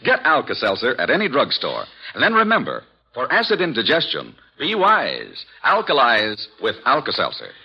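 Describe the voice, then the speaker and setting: A man reading an old-time radio advertisement in a bright selling tone. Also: mid-range voice, huge pitch swings, normal speed, vocal fry, breathy edge. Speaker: radio announcer. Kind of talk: 1940s radio ad read. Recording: dull lo-fi old radio recording.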